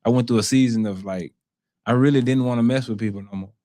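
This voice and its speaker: A man's voice: mid-range voice, fast, mellow, no room sound, clean studio sound. A man with an American accent talking earnestly and slangily as a guest in a casual interview. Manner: earnest, slangy, frequently says bro. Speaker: interview guest, content creator.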